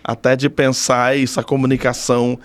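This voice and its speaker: A man's voice: medium pitch, monotone, moderate pace, dry recording. A man in his thirties with a Brazilian Portuguese accent, talking casually and reflectively as an interview guest, thoughtfully repeating phrases for emphasis. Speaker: podcast guest, doctoral student in communication.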